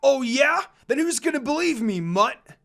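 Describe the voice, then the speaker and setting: A man voicing a hot-headed young male wolf demon who shouts taunts. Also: very high voice, animated, measured pace, pitched above the performer's own voice, heavily acted. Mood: angry shouting.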